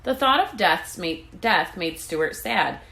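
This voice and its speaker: Female storybook reader, slightly low register, animated intonation, expressive children's narration. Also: slow pace, gravelly. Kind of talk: expressive read-aloud narration of a children's book.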